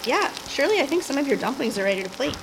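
A woman's voice: sits high, big pitch swings, fast talker, noisy background. A woman from the Boston area, a restaurant owner, explaining things in an upbeat, casual way with frequent ums. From Boston area, United States. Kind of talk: casual conversation and cooking demo.

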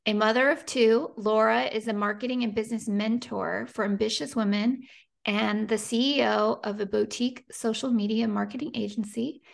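A woman's voice: mid-range voice, gravelly. A woman speaking as a podcast host, with a polished delivery for introductions and interviews.

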